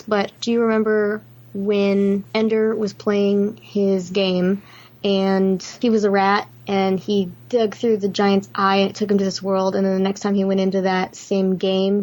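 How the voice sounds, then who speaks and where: A woman with a mid-range voice talks as a podcast co-host. She is chatty and informal, curious about details and quick to giggle as she discusses stories and films. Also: mid-range voice, darkish tone, poor audio. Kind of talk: casual film and book discussion.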